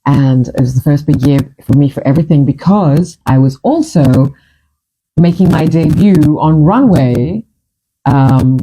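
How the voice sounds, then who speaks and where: A woman's voice: low voice, dark tone, breathy edge, poor audio. A woman from Fiji in her fifties, hosting a casual chat and telling her stories in a chatty, dramatic, self-mocking way. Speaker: host.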